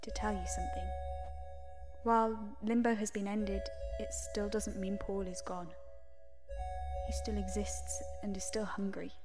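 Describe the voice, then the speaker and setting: A woman playing a cryptic apparition in an audio drama, speaking in a measured, portentous manner. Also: mid-range voice, natural voice. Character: cryptic female apparition speaking inside a dreamer's head.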